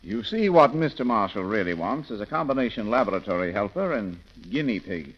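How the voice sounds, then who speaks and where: An actor playing a smooth-talking, businesslike shop boss, polite and matter-of-fact, in 1930s radio romance style. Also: male voice, on the low side, very animated, a little fast. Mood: businesslike, persuasive. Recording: muffled, crackling 1930s radio recording.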